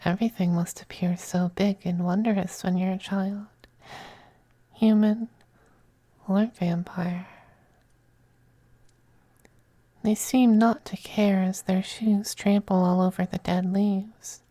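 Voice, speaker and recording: A silky femboy vampire acted by a man murmurs in a slow, mellow, high and feminine-leaning voice for an ASMR roleplay. He is possessive and teasing, slipping into soft whispers with languid condescension, very close and dry. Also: steady tone, no room sound. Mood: wistful.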